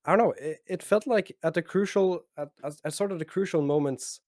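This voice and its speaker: A man casting esports, giving a casual, halting post-game analysis full of fillers. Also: a little high, a little mellow, no echo, studio quality. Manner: hesitant, many fillers and restarts, measured. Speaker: esports caster.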